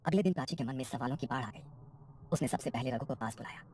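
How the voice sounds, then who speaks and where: Very low-voiced woman narrating horror. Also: quick pace, a little mellow, a little hiss or hum. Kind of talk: scripted horror story narration.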